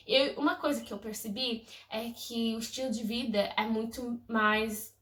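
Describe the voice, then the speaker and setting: A young woman from England, a native English speaker, talks casually to camera. Her tone is bright and her manner gushing and effusive. The sound is echoey, with the mic set back from her. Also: a little high, normal speed, recorded from a distance. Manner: effusive, gushing, lively storytelling. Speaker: vlogger.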